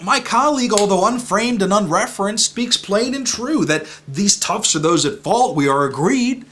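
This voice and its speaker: Man acting a high-voiced, aggrieved Shakespearean gentleman. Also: lively intonation, normal speed, a little hiss or hum. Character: aggrieved, rambling Shakespearean gentleman, wronged and exasperated. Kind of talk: mock-Shakespearean dramatic recitation.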